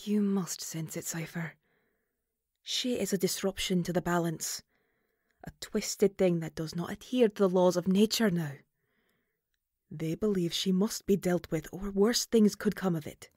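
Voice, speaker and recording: A woman playing a stern, duty-bound banshee mother in an audio roleplay, speaking formally and gravely. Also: on the low side, a little slow, a little mellow, no room sound, clean studio sound.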